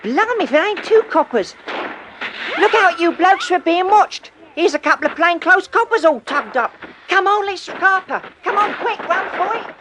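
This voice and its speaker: A woman's voice: very high-pitched, expressive, normal speed. A woman playing a cheeky London street child with a Cockney accent in a vintage radio drama. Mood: alarmed, excited. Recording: noisy old radio drama.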